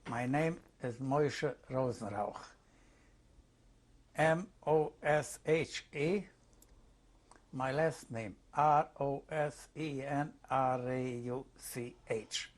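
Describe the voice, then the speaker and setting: Slow-speaking elderly man with Hungarian accent. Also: mid-range voice. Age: 80s. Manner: halting, searching for words. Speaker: interviewee. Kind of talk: oral history testimony.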